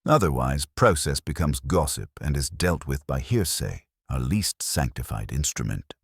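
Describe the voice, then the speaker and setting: A man's voice: very deep voice, lively intonation, moderate pace, vocal fry, breathy edge, no room sound, studio quality, exaggerated voice. A man voicing a pleasant, sharply articulate woman editor in a mystery story, polite and precise. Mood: pleasantly contrary.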